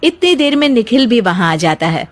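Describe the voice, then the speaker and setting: A woman's voice: a little high, very animated, moderate pace. A woman narrating a scripted moral story as a storyteller.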